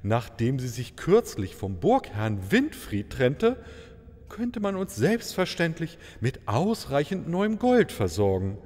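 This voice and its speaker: A man's voice: mid-range voice, wildly swooping pitch, takes it slowly, darkish tone, exaggerated voice. A man playing a courteous, dignified travelling maharaja in a fairy-tale audio comedy, speaking in formal, flowery euphemisms. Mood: regretful, dignified. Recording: long reverberant hall sound.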